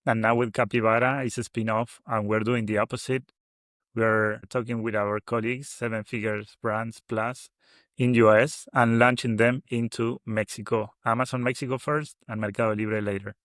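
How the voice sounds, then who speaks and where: A man from Argentina with an Argentine Spanish accent, a native Spanish speaker, giving earnest interview answers in slightly halting English. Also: mid-range voice, flat delivery, fairly bright tone, dry recording. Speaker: agency founder, guest.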